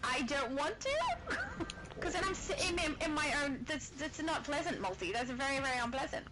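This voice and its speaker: A woman's voice: high voice, very animated, normal speed, rough recording. A woman from Australia with a light, slightly British-tinged Australian accent, casually chatting and laughing while hosting a gaming livestream and reading chat aloud. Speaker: livestream host.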